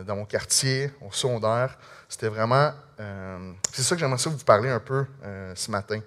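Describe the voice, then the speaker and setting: A man with a Québécois French accent, telling an audience his personal testimony in an earnest, halting, conversational way. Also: mid-range voice, fairly bright tone. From Quebec. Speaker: speaker sharing a personal testimony.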